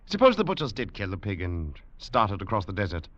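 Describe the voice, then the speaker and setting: An actor playing a wry, coolly confident English gentleman legionnaire, dry and teasing, in a 1940s radio drama. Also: lowish voice, huge pitch swings, brisk, no echo. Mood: dry, composed. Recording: muffled vintage radio recording, faint music.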